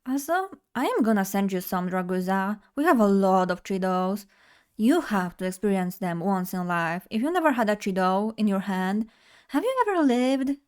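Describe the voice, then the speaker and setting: A woman's voice: medium pitch, expressive, moderate pace, clear, resonant tone. A young woman from Poland, chatting bubbly and directly to her live chat as a streamer at a desk mic. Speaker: vtuber streamer.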